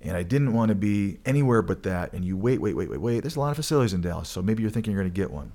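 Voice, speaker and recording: A man's voice: lowish voice, expressive, rapid-fire, dark tone. A man from Michigan co-hosting a casual chat, rambling and joking, often restarting his sentences. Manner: rambling, joking, self-correcting. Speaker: co-host.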